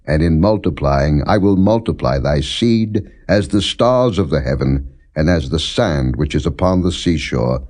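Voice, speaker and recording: A man narrating scripture as a scripted, non-dramatized reading, in a solemn, measured and deliberate manner. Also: low-pitched, dark tone.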